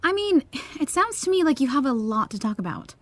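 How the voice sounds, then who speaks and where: A woman's voice: sits high, sing-song, a little fast, dry recording. A woman playing an unflappable, teasing young friend with a wry, casual, soothing manner in an audio comedy-drama.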